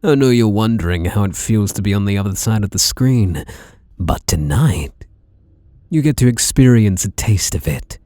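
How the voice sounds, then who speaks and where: A man's voice: lowish voice, animated, normal speed, mellow, no echo, studio quality, close to an everyday voice. A British man playing a sadistic, obsessive masked killer in an ASMR roleplay, teasing and menacing with mock tenderness and whispered words. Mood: gloating, possessive.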